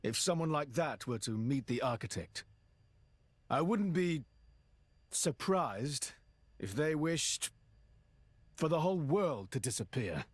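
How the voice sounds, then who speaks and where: A brooding fantasy game man, husky and a little high, with pitch that moves freely. He talks in a halting, reflective way. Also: lively intonation, dry recording. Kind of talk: video game cutscene acting.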